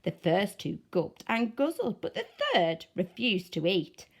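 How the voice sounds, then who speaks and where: A woman teacher reading a picture book aloud in an expressive, sing-song way. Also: mid-range voice, unhurried, gravelly, slightly rough. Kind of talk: storybook read-aloud.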